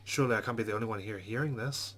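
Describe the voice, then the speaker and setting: Low male voice, dramatic story narration, let's player, background noise. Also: very fast, a bit bright. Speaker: let's player reading a visual novel aloud. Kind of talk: dramatic narration of on-screen game text.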